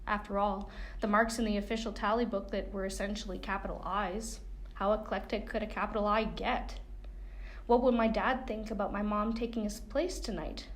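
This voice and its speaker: A woman's voice: medium pitch, fairly even intonation, normal speed. A woman reading a first-person coming-of-age novel excerpt as narrator. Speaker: reader of a book excerpt.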